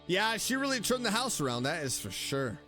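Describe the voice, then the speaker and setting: A woman streamer with a low voice and lively, swinging intonation. She tosses out casual, sarcastic asides as she plays, with faint music behind. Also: a little fast. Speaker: streamer playing a story game. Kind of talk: casual live game reaction commentary.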